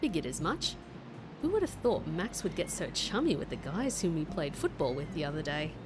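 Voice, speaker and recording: A woman's voice: deep voice, very animated, normal speed, over music. A woman playing a breezy, friendly Australian secret agent in an audio drama, chummy and slangy, with a playful manner. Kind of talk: audio drama acting with narration.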